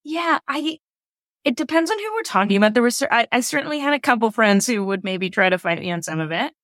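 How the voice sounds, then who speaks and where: A woman's voice: a little high, big pitch swings, fast, a bit bright, dry recording, clean studio sound. A woman talking casually as an interview guest, telling things anecdotally and repeating words for emphasis. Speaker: podcast guest, audio drama creator.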